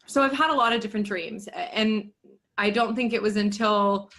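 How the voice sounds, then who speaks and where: A woman in her thirties speaks openly as a podcast guest, chatty and self-deprecating. Her voice sits in a middle pitch. She laughs easily between thoughts. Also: fairly quick, a bit bright. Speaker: yoga business coach and guest. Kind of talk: podcast interview.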